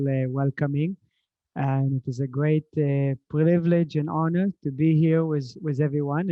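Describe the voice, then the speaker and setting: A man from Israel speaks as a spiritual teacher and meditation guide. His voice is relaxed and somewhat high for a man, and he takes his time with very slow, courteous phrasing. The sound is dry. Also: dry recording, highs cut off.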